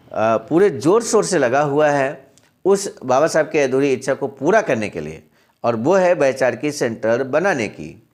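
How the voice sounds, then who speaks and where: A man presenting a channel's daily message, reading out and explaining a historical text and addressing his listeners as honored friends. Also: mid-range voice. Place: center in Sarnath, Varanasi.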